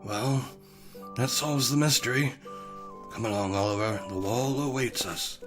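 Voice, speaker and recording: A man's voice: mid-range voice, unhurried, lightly acted. A man playing a kindly, old-fashioned wizard mentor in an audio drama, speaking formally and theatrically. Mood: wry, genial. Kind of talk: storybook audio drama acting. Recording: music playing behind the voice.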